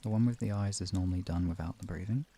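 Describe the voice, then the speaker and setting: A man's voice: deep voice, quick pace, dark tone, slight background noise. A man hosting a live stream, talking informally and off the cuff to viewers, often rambling and trailing off mid-thought. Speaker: live stream host and relaxation guide. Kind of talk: casual, improvised live-stream chat with viewers.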